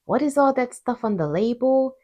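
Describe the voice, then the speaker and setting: A woman's voice: a little high, expressive, moderate pace, dark tone, highs cut off. A woman with a Hungarian accent, hosting a crochet tutorial in a chatty, friendly way with playful asides. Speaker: crochet teacher, channel host. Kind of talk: casual tutorial explanation.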